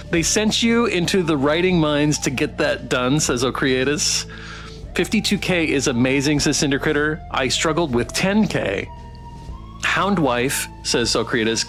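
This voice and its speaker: A man hosting a casual developer Q&A stream, effusive and halting as he repeats words and reads out live chat. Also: medium pitch, fairly bright tone. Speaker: host of a visual novel playthrough stream.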